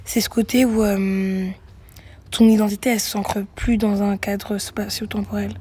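A young woman who grew up in Greece, a musician guest answering interview questions thoughtfully, with many pauses and restarts. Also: medium pitch, flat delivery, warm tone, slight background noise. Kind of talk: reflective radio interview answers.

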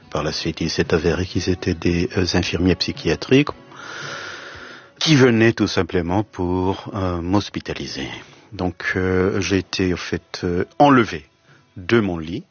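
A man in his fifties with Hebrew as his first language, answering interview questions as a writer in a halting, reflective way. His voice sits very low and sounds husky. The recording is dry and a little dull. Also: no room sound. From Israel. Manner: halting, many hesitations and restarts. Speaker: writer and translator, guest.

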